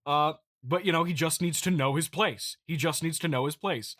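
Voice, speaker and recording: Male comedy host, bright, forceful voice in a dry recording; plays a petty, self-important company boss, rambling through an improvised address. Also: on the high side, no room sound. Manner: mock-pompous, halting and rambling with repeated phrases. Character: smug, petty billionaire CEO boasting to investors. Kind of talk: improvised comedic monologue, mock-corporate address.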